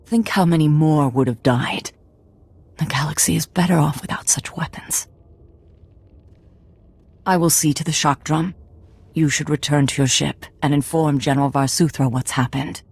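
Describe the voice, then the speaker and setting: A man playing an aged, wise and kindly Jedi mentor in a video game, speaking in a measured, solemn way with a gravelly, husky voice. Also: fairly high pitch, steady tone. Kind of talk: video game dialogue acting.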